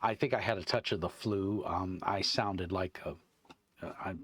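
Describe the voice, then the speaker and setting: A man with a deep voice hosting his tabletop game review channel, rambling casually with lots of fillers and restarts. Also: steady tone, a little slow, a bit bright, no room sound. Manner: meandering, many fillers and restarts. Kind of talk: casual rambling chat to camera. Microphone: wireless clip-on mic.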